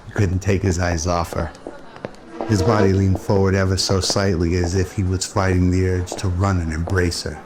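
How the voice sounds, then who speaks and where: A man's voice: low voice, little pitch movement, normal speed. A man reading scripted narration for a sci-fi radio drama. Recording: loud music behind the voice.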